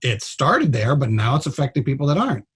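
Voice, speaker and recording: A man's voice: medium pitch, big pitch swings, fairly quick, husky. A man speaking as a guest doctor in a radio interview, explaining things in a plain-spoken, blunt and informal way. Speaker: trauma surgeon, guest doctor.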